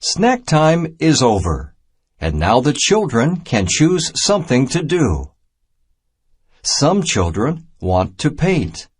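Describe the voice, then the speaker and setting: Slow-reading man with widely swinging pitch. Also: huge pitch swings, breathy edge. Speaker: narrator of a children's math reader. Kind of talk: scripted read-aloud of a children's storybook.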